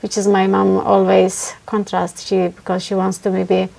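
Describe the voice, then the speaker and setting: Turkish lady in a speaking test, kinda creaky voice, lots of uhs. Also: mid-range voice, completely flat delivery, moderate pace, a little mellow. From Turkey. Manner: hesitant, frequent uh fillers, simple grammar. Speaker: test candidate. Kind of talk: spoken exam answers.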